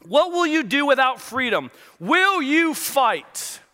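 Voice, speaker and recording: A man voicing a rebel leader rallying his troops, speaking slowly and emphatically in his own voice. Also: very high voice, big pitch swings, bright, forceful tone, big-room sound, full character voice. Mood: rousing, stirring. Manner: slow, emphatic speech delivery.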